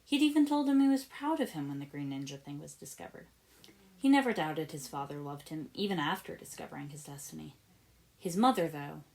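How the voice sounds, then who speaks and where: A woman's voice: medium pitch, a little mellow. A woman narrating fiction as an amateur audiobook reader, with expression in the scripted prose.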